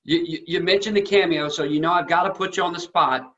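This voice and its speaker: Male show host, higher-than-average pitch, effusive and halting, casual interview chat, dull lo-fi recording. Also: a bit bright. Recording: slightly dull lo-fi audio.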